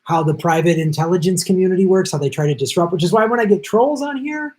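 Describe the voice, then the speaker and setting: A man's voice: sits high, a little mellow. A middle-aged man hosting a live stream, chatting casually and reading viewer comments while he is losing his voice and jet-lagged. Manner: chatty, thanks viewers by name, quick asides. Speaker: livestream host.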